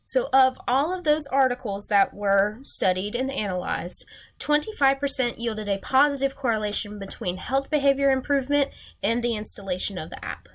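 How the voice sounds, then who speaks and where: Young female student, congested and nasal, slightly high voice, earnest read-through of a class presentation. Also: expressive, moderate pace. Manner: earnest, reading from slides. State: recovering from a sinus infection.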